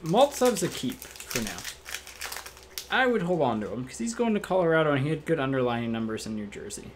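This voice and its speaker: Slightly raspy man calling out cards. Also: fairly high pitch, fairly quick, background noise. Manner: relaxed, matter-of-fact, thinks aloud. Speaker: card break host. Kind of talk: casual card-opening commentary. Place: indoor room at a card table.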